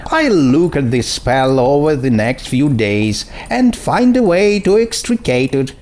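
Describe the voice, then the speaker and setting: A man voicing a smug, self-assured female pony mage in a storybook reading, explaining things with calm certainty. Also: medium pitch, unhurried, slight background noise, voice pushed up. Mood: dismissive, assured.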